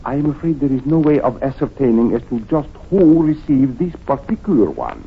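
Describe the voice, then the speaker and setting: An actor playing a suave, courteous foreign consul in an old-time radio drama, with formal, careful phrasing. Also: male voice, mid-range voice, very animated, a little fast. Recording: tinny, noisy vintage radio recording.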